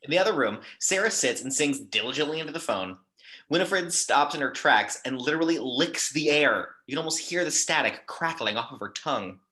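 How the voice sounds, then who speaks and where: A man's voice: on the high side, expressive, brisk, bright, forceful tone, a little hiss or hum. A man narrating action descriptions in a scripted table read.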